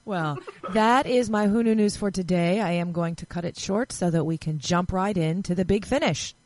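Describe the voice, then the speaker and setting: A woman's voice: fairly low pitch, normal speed, a little mellow, dry recording. A woman presenting a casual news segment on a podcast panel, chatting among friends.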